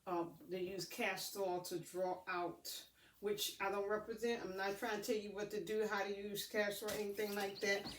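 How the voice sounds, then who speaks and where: A woman's voice: medium pitch, little pitch movement. Woman vlogging from an echoey room. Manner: halting, addresses viewers as family. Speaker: vlogger showing purchases. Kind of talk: casual unboxing chat to camera. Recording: roomy echo, distant mic, faint noise.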